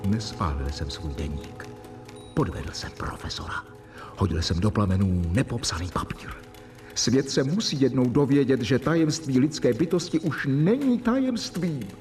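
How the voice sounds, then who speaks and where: A man's voice: medium pitch, big pitch swings, darkish tone, soft background music, lightly acted. Man playing solemn, earnest young-hearted doctor. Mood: solemn confession. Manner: solemn, rhetorical. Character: earnest, devoted doctor, awed assistant confiding his diary. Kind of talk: radio drama acting.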